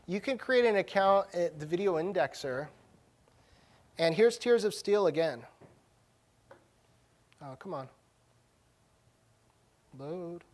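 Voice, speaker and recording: A man presenting a live tech demo at a conference session, speaking in an informal, slangy, casual way. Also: high voice. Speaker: conference presenter, technology director.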